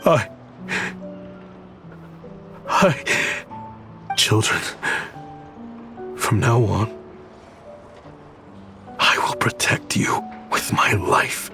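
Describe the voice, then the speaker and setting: An actor playing an earnest, remorseful man in a video game, speaking hesitantly and haltingly. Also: lowish voice, expressive, sharp tone, heavily acted. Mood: moved, solemn. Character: remorseful, earnest grown man seeking atonement. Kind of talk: video game character acting. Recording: music clearly behind the voice.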